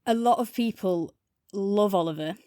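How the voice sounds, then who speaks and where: A woman's voice: mid-range voice, moderate pace, mellow, smooth, dry recording. Woman, dry close sound, thoughtful. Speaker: podcast co-host. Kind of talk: casual review discussion.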